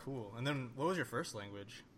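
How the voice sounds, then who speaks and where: A man hosting a casual interview, upbeat and quick to laugh. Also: mid-range voice, animated. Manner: enthusiastic, laughs often. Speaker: interviewer host.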